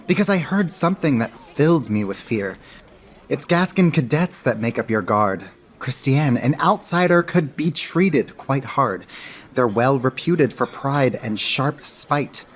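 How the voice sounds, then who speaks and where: Man acting a lovestruck, earnest young gentleman. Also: mid-range voice, animated, unhurried, natural voice. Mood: earnest, anxious. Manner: romantic, earnest. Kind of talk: radio theatre acting in verse.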